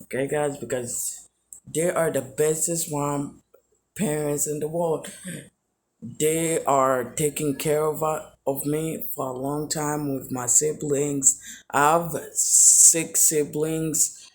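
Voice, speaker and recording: Slow, halting young man from Tanzania. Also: a little high, steady tone, a little hiss or hum. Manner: rambling, halting. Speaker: vlogger. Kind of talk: casual chat to viewers.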